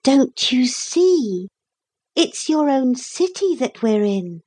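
A storybook little girl, as a woman narrator plays her, pitched high and full of earnest insistence. She speaks in proper, old-fashioned phrases with childlike emphasis, persuading stubbornly and getting excitable. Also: takes it slowly, slightly rough, heavily acted. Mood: excited.

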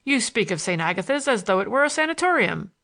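A woman voicing a courteous young gentleman in his twenties, wry and gently condescending, in audiobook dialogue. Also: mid-range voice, big pitch swings, fast talker. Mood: wry, curious. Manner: polite, dry, questioning.

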